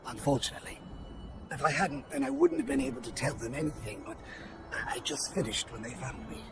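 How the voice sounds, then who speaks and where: Wounded, guilt-ridden prisoner, mid-range and very changeable in pitch, breathy, halting, game drama over clear music. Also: male voice, huge pitch swings. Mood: pained, ashamed. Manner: halting, pained delivery with repeated words. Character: battered, guilt-ridden male captive confessing after torture. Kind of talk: video game cutscene acting.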